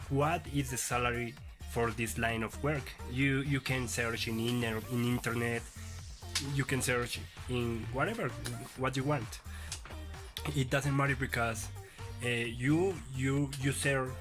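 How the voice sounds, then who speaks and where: Halting man giving interview tips. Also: mid-range voice, steady tone, over music. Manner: halting non-native English with restarts. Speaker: English tips presenter. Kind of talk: casual advice video.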